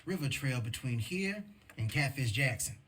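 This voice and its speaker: An actor playing an eager, defensive young American outlaw in a Western video game, earnest and a little cocky. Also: male voice, medium pitch, lively intonation, normal speed, fairly bright tone, slight background noise, lightly acted. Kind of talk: video game dramatic acting.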